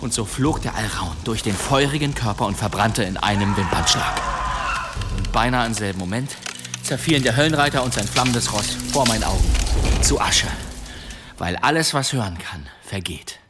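A man's voice: fairly low pitch, animated, normal speed, natural voice. Man playing a theatrical medieval demon hunter, music behind. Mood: dramatic, gripping. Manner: theatrical, suspenseful, courtly delivery. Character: boastful medieval demon hunter, a swordsman spinning dramatic tales. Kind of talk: German radio drama storytelling.

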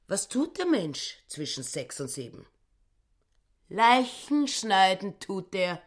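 An actress playing a grasping, self-righteous, narrow-minded housewife who speaks in aggrieved, clipped phrases in a radio drama. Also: female voice, mid-range voice, big pitch swings, slow. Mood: aggrieved, bitter.

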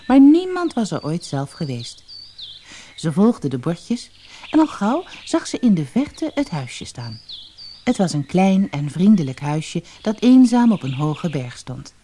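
Very animated woman telling a fairy tale. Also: fairly low pitch, a little hiss or hum. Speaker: storyteller. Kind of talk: expressive fairy-tale narration.

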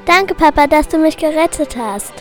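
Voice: high-pitched, lively intonation, normal speed, a bit bright, soft background music, a faint crowd. A child of about eight or nine playing gangsters and royalty in an amateur school radio play. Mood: happy. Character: crown-greedy gangsters and a happy young princess.

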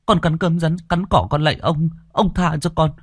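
A man putting on a high wailing, groveling peasant. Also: steady tone, moderate pace, dry recording, clean studio sound, lightly acted. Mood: pleading. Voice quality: high whimpering plea. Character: beaten, groveling young peasant man, pleading.

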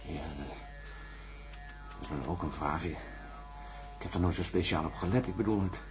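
Questioned astronaut, radio drama. Lowish voice, unsure, halting delivery, faint music behind. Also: male voice, animated. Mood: hesitant, unsure. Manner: halting, groping for words. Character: hesitant, uncertain male astronaut being questioned.

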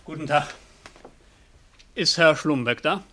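An actor playing a curt, by-the-book official messenger in a German radio play, speaking in clipped, terse phrases. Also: male voice, fairly high pitch, slow, bright, forceful tone. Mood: officious, impatient.

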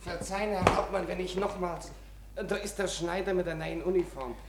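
An actor playing a devoted, deferential soldier servant, emotional, in an old German radio play. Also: male voice, high-pitched, fairly even intonation, moderate pace, close to an everyday voice. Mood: sad, deferential. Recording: echoey, very noisy old radio recording.

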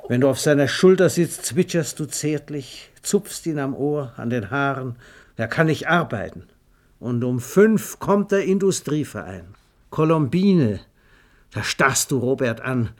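A man's voice: mid-range voice, very animated, unhurried. A man reads a scripted radio story in a theatrical, emphatic storytelling manner. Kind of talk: dramatic scripted storytelling narration.

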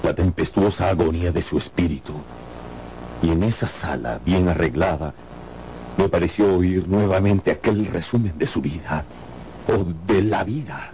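An actor playing a weary, troubled riverboat captain, telling his journey gravely and theatrically as a radio drama narrator. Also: deep voice, normal speed, mellow, a little hiss or hum, natural voice. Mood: haunted, somber.

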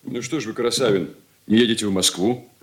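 A man's voice: lowish voice, fairly quick, breathy edge. An actor playing an irritable, evasive young merchant's son, weary of his family, in a Soviet radio play. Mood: irritable, curt.

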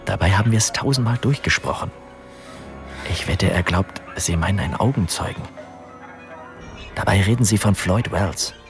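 A jailed suspect muttering bitterly to himself, super deep voice, music under it. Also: male voice, normal speed, pauses often. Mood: bitter, anxious. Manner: bitter inner monologue, partly whispered. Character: Dick, scheming male murder suspect. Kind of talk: radio drama acting.

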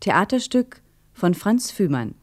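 Animated woman announcer. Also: mid-range voice, slow. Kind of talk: scripted title announcement for a radio play.

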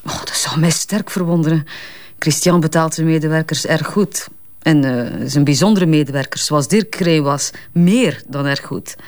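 A woman's voice: sits low, a little mellow, natural voice. Self-assured Flemish businesswoman under questioning, played by an actress. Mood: defensive, assured. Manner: brisk, candid, hesitant in spots, defensive. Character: self-assured female advertising strategist under police questioning. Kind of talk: naturalistic crime drama acting.

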